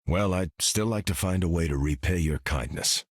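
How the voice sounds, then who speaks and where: An earnest, courteous soldier hero with a deep, steady voice. The recording is close and dry. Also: male voice, normal speed, dry recording. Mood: earnest, friendly.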